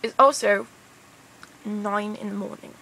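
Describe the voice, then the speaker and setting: A woman's voice: mid-range voice, steady tone, measured pace, creaky, sharp tone, slight background noise, low-quality recording. A young woman from Canada rambles casually in a put-on British accent, sounding tired, with crude slang and a hesitant manner.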